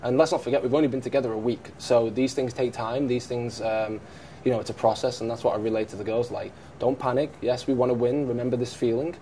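Thirty-something guy coach talking after a game, mellow warm voice, lots of ums and restarts. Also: medium pitch, slight background noise. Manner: reflective, frequent fillers and restarts. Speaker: head coach. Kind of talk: post-match press conference. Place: press room in front of a sponsor backdrop.

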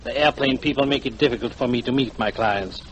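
An actor playing a sly, florid middle-aged guide, ingratiating and pompous, in 1940s radio style. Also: male voice, mid-range voice, fairly quick, dull audio, natural voice. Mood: oily, eager. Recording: noisy 1940s radio broadcast.

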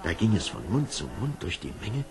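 Deep, gravelly male fairy-tale storyteller. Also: very animated, normal speed. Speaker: storyteller, narrator. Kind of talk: scripted fairy tale narration, expressive.

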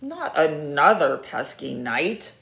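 A woman's voice: deep voice, very animated, takes it slowly, vocal fry, fairly bright tone, full character voice. A woman doing a squealing, pleading dragon. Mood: grumbling. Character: huge lazy male dragon, whiny and vain. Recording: roomy echo.

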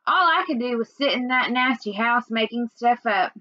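High-pitched young woman reading aloud. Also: expressive, normal speed, pushed and bright, no room sound, highs cut off. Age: young adult. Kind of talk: read-aloud of a children's novel. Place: small indoor room at home.

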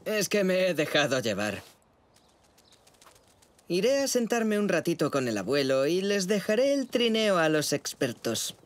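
A playful, clumsy father zebra from a children's cartoon. His intonation swoops wildly into yelps and whoops, and he speaks slowly. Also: sits high, very animated. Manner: warmly teasing, yelps and whoops.

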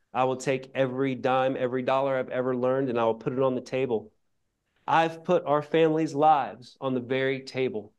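A man's voice: medium pitch, a little mellow. A middle-aged man making an impassioned, preacher-like appeal for a charity project, repeating phrases for emphasis, recorded indoors on a lapel mic. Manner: fervent, emphatic, preacher-like repetition. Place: indoor room with paintings and a plant.

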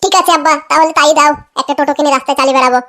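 A woman's voice: high voice, fairly even intonation, rapid-fire, bright, forceful tone, slight background noise. A woman voicing a feckless, eager young village man with a whiny, insistent manner in a comic cartoon. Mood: pleading.

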